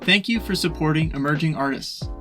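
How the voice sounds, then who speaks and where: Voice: medium pitch, fairly quick. A young adult announcer reading a scripted introduction to a radio play. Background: soft plucked guitar music.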